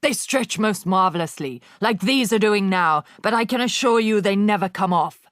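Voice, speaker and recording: A woman's voice: lively intonation, normal speed, no room sound, studio quality, close to an everyday voice. A woman voicing a towering, bullying headmistress who barks her words with harsh contempt. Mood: bellowing. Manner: barking, booming, contemptuous.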